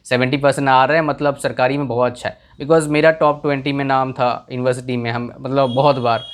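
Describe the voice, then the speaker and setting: A man's voice: medium pitch, fairly even intonation, fairly quick. A young man whose first language is a village tongue, giving earnest career advice in a casual talk, often checking if the listener follows. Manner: earnest, repeats questions to the viewer.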